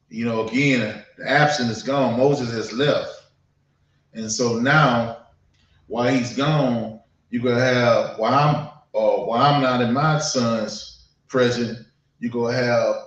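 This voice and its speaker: Echoey, distant man preaching. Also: medium pitch. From United States. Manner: halting, repetitive, conversational with frequent check-ins. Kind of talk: informal sermon, public address. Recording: dull, echoey room, distant mic.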